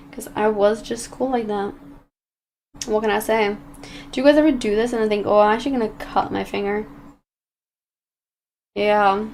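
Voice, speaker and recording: A woman's voice: mid-range voice, darkish tone, a little hiss or hum. A young woman with a British accent and a slight Australian twang, rambling through casual stream chat with many restarts while she paints.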